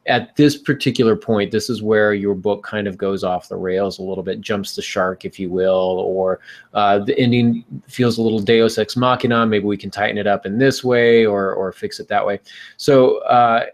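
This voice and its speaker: A man's voice: on the low side, steady tone, normal speed. A man hosting a podcast, talking casually and giving advice to listeners. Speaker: podcast host.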